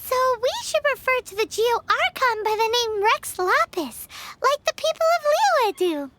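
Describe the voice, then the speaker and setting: Chirpy little fairy girl, very high, bouncy, eager, game dialogue, hissy audio. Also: sing-song, moderate pace. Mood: bubbly. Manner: bubbly, eager, high-pitched. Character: small chirpy floating fairy companion girl.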